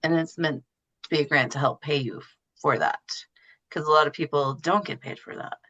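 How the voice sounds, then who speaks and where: Woman with a bright, forceful voice. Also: deep voice, a little fast, muffled recording. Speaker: podcast guest explaining her know-how. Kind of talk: casual explanatory interview talk.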